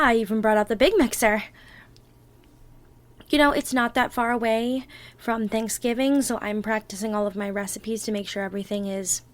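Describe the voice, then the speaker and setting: A woman's voice: on the high side, a bit bright, lightly acted. A woman playing an affectionate, playfully bossy girlfriend in a one-sided ASMR roleplay, chatting and thinking aloud as she bakes. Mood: excited, welcoming. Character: bubbly, bossy, affectionate young girlfriend baking for Thanksgiving. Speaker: roleplay audio performer.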